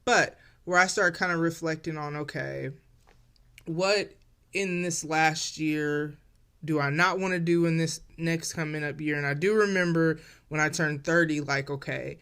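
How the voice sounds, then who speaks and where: A woman's voice: low-pitched, fairly even intonation, unhurried, fairly bright tone, clean tone. A woman of forty hosting a casual solo podcast, talking in a reflective, loose and chatty way straight to her listeners. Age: 40s. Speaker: podcast host.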